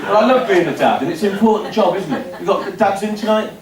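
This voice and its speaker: A man's voice: sits high, expressive, fast talker, over a noisy crowd. A man doing a stand-up comedy set in a club, telling dry, self-deprecating stories with punchy asides. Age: 50s. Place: comedy club stage before a laughing audience. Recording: roomy live venue recording from a distance.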